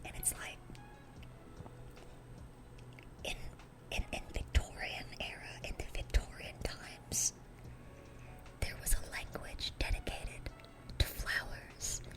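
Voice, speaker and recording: A woman's voice: unhurried, natural voice. A woman playing a dorky, sweet-natured young demon girl in an ASMR roleplay, whispering in a rambling, chatty, earnest way. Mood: sweet, excited. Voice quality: breathy, unvoiced whisper.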